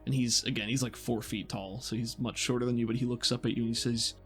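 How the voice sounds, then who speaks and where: A man's voice: medium pitch, level tone, fast, a bit bright, soft background music. A man working as game master, narrating a tabletop game in a descriptive, conversational way as he thinks aloud.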